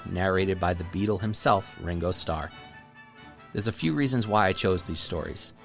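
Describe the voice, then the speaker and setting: A man reading a scripted introduction as the host of an audio drama special. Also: low voice.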